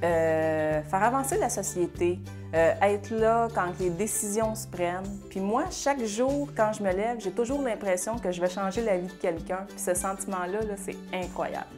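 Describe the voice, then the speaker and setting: A woman's voice: mid-range voice, normal speed. A woman in her thirties with a Québécois accent, speaking earnestly as an award honoree, with frequent fillers. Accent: Québécois French. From Quebec. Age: 30s. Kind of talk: prepared testimonial. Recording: music bed behind the voice.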